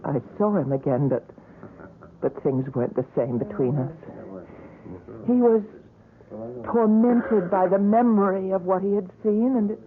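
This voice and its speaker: A woman's voice: mid-range voice, unhurried, long pauses, natural voice. An actress playing an earnest young woman in love in a 1970s radio drama, speaking in a pleading way. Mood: wistful. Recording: tinny 1970s radio broadcast.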